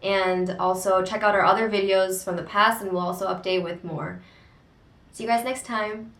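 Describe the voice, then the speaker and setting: A young woman co-hosting a casual book discussion, speaking thoughtfully with frequent hesitant pauses. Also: mid-range voice, steady tone, fairly bright tone. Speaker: co-host of a book discussion channel. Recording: echoey room, distant mic.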